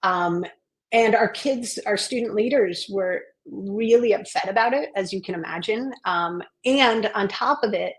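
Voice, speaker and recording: A woman's voice: mid-range voice, measured pace. Earnest woman telling school stories. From Maine, US. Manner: earnest, reflective, animated when telling stories. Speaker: guest, education consultant and author. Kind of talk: podcast interview storytelling.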